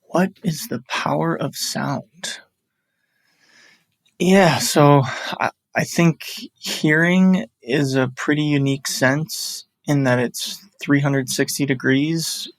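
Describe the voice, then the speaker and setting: A man on a podcast team, speaking in a measured, reflective way in a casual chat. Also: on the high side, steady tone, no echo.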